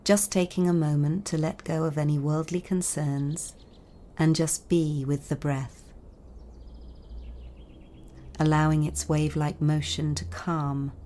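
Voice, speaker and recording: A woman with a low, dark and mellow voice guides a meditation. Her delivery is calm and soothing. She speaks in measured phrases with long pauses between them. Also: slight background noise. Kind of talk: guided body scan meditation narration.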